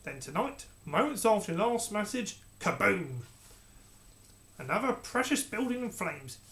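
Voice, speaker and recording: A narrator voicing a scheming male royal adviser who speaks slowly and soothingly. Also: medium pitch, very animated, a bit bright, voice pushed up. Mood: accusing. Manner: slow, measured, falsely soothing. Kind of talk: storybook character reading.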